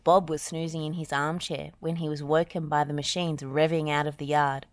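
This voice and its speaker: A woman reading a children's storybook aloud in an expressive, deliberate way. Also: deep voice, dry recording. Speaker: audiobook reader.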